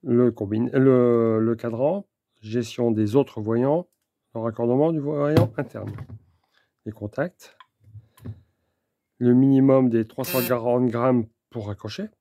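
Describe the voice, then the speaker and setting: A man presenting an unscripted technical demonstration, speaking methodically in short, halting phrases. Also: mid-range voice, steady tone, mellow, lo-fi sound. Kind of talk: unscripted hands-on explanation. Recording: clear background noise with clicks.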